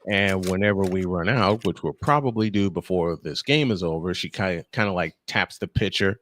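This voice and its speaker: A man's voice: low-pitched, normal speed, a bit bright, slight background noise. A man doing live play-by-play baseball commentary, breaking into folksy, joking asides with listeners. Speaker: play-by-play baseball announcer and stream host. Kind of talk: live sports commentary mixed with casual chat.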